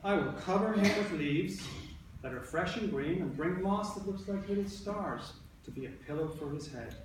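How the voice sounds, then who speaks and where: A man's voice: sits high, expressive, moderate pace. A man working as an elementary school teacher, reading aloud to young children and talking with them in an instructive way, repeating and rephrasing. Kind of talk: interactive read-aloud to a class of young children. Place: indoor classroom. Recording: roomy, echoey, distant mic with background noise.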